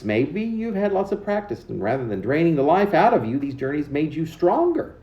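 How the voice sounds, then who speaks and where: A man reading his own poem aloud in an expressive, deliberate way with emphatic phrasing. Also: medium pitch, reverberant, lo-fi sound. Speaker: poet reading own work. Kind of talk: poetry reading.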